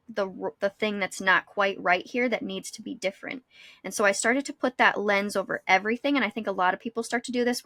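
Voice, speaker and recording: A woman's voice: medium pitch, a bit bright, dry recording, studio quality. A woman in her thirties co-hosting a podcast in casual, reflective chat, explaining ideas and rephrasing them as she thinks aloud. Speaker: podcast co-host; school psychologist.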